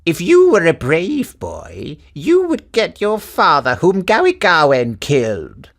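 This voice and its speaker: Storybook angry old woman, acted by a man: raised pitch, loud, drawn-out, taunting scolding. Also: huge pitch swings, exaggerated voice.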